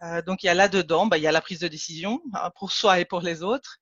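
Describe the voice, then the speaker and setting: A woman's voice: lowish voice, fast talker, a bit bright, husky, no echo. Woman trainer on a video call, lots of euhs and restarts, muffled dry sound. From Ticino, Switzerland. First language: Italian. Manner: hesitant, many fillers and restarts. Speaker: trainer and consultant. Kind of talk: online training webinar. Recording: dull lo-fi dry call audio.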